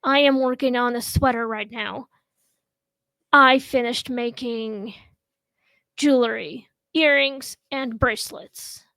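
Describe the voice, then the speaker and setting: A woman in her thirties from Russia, hosting a casual home chat show and talking in a slow, halting way with many pauses. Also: a little high, steady tone, creaky, sharp tone, no room sound. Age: 30s. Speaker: host.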